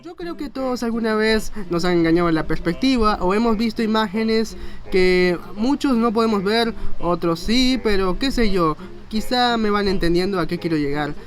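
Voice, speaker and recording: A man's voice: sits high, expressive, moderate pace. A man narrating an informal educational explainer in a conversational, rambling way with self-mocking asides. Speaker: video narrator and graphic designer. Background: faint music.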